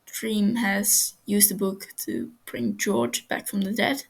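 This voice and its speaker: Slow, husky woman reading a story. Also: mid-range voice, steady tone, low-quality recording. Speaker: amateur fan fiction reader.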